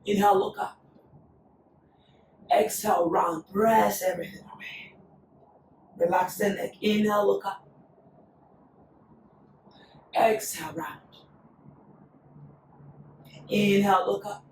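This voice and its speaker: A woman teaching a yoga class, calmly cueing breath and poses, her voice still recovering after being lost. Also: medium pitch, takes it slowly, long pauses, a little hiss or hum. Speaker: yoga instructor. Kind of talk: guided yoga class instruction. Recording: echoey room, voice from a distance.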